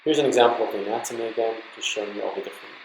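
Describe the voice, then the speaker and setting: A man's voice: fairly low pitch, fairly even intonation, very fast, gravelly, echoey room, background noise. A man giving an informal, explanatory slide lecture to trainee doctors, talking them through examples in an instructive way. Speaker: medical lecturer.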